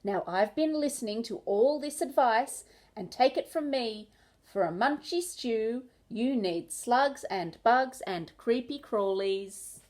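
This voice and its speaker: A bristly, bossy echidna from a children's storybook, put on by a woman. He is impatient and brisk with his advice. The voice sits fairly high. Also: animated, a little mellow. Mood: bossy impatience.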